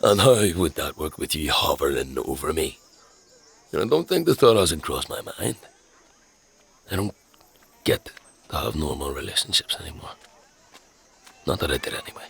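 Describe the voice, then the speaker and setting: A man playing a brooding, reluctant fantasy hero burdened with dark powers, hesitant, guarded and blunt in an audio drama. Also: extremely low pitch, sing-song, normal speed, gravelly, long pauses, breathy edge, exaggerated voice. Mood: defensive, frustrated.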